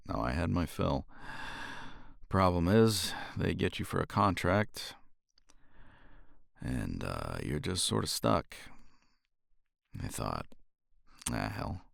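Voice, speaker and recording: A man's voice: low voice, very animated, takes it slowly, vocal fry, pauses often, no room sound, clean studio sound, exaggerated voice. A man playing a cocky, weary champion competitor in an intimate romance ASMR roleplay, flirtatious and teasing, with languid sighs and murmured asides. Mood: wry, weary.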